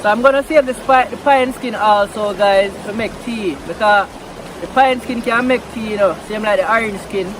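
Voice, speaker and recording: Relaxed Jamaican vlogger, a man with a high voice and a Patois accent, filmed outdoors by a river with noisy water behind. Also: moderate pace. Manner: relaxed, chatty, mixing Patois into English. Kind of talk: casual vlog talk outdoors.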